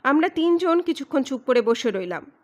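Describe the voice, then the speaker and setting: A woman narrates a mystery audiobook. Her voice is high, and she reads from a script in a storytelling style. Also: sing-song, normal speed, a little mellow.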